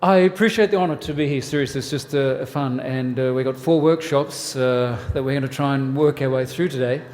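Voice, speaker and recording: A man in his sixties with an Australian accent, from New South Wales. He gives a church workshop talk in a relaxed, joking way, with many "uh" fillers. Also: medium pitch, a little fast, in a large hall. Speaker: family ministries pastor. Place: church hall.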